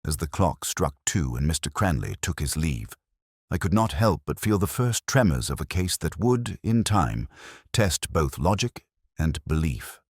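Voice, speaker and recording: Very deep-voiced man narrating a mystery. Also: big pitch swings, slightly rough, no room sound, studio quality. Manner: measured, formal, literary delivery. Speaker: audiobook narrator. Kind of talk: scripted mystery story narration.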